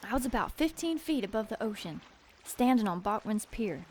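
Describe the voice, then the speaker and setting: An actress playing a plucky, anxious, determined woman, narrating an audio drama in an urgent, expressive way. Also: a little high, moderate pace, slight background noise, lightly acted. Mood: tense, determined.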